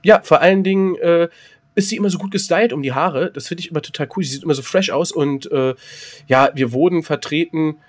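Male podcast co-host, 30s, slightly high voice, jokey and animated, lots of restarts. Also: a little fast. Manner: joking, animated, restarts often. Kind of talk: casual comedic chat.

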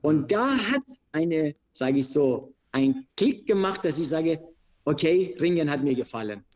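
A man from Iran with an Iranian accent, a wrestler recounting his career in an interview, speaking in halting, broken phrases with many restarts. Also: on the high side, very animated, a little mellow, dull audio. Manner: broken grammar, frequent restarts and hesitations. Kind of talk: interview, telling his life story.

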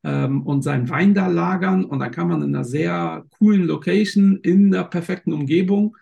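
A man's voice: high voice, moderate pace, vocal fry, dark tone, highs cut off. A male podcast host who chats, jokes and hesitates a lot while introducing his guest. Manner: chatty, joking, many hesitations. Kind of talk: casual interview talk.

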